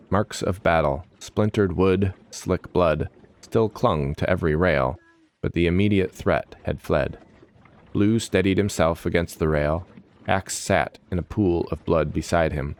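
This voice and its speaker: A man reading scripted fantasy narration in a dramatic storytelling style. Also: low voice.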